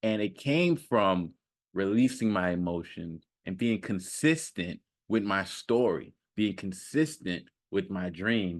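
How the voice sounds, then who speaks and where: A man speaking off the cuff like a self-improvement coach, casual and slangy, repeating phrases for emphasis and often checking in with the listener. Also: on the low side. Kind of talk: casual off-the-cuff motivational talk.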